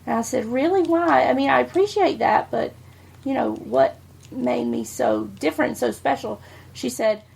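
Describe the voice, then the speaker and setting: A woman's voice: high-pitched, big pitch swings, moderate pace, vocal fry, a little mellow, husky. A middle-aged woman, chatting bluntly as a podcast co-host and swearing freely. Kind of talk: casual chat.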